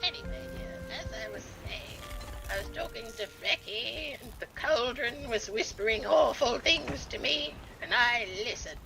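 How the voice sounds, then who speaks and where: A woman's voice: a little high, normal speed, creaky, over music, poor audio. A woman voicing a sweet, scatterbrained old grandmother who dithers and keeps saying "oh dear" during a role-play game. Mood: dithering. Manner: dithering, keeps exclaiming "oh dear" and calling people dear.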